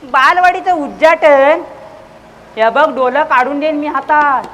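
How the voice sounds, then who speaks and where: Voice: high voice, unhurried, fairly bright tone, soft background music. A performer playing a bossy, irritable village secretary in a comic stage play, scolding and barking repeatedly. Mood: bossy, exasperated. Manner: scolding, exasperated, repetitive barking. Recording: noisy live stage recording.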